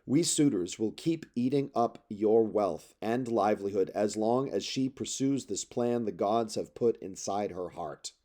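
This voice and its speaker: A man reading aloud plays an arrogant suitor in an epic tale. He accuses sternly and declaims in measured phrases, with a soft, dark timbre. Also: fairly low pitch, expressive. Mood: stern, accusing. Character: arrogant, accusing male suitor delivering an ultimatum. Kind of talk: dramatic epic poetry read aloud.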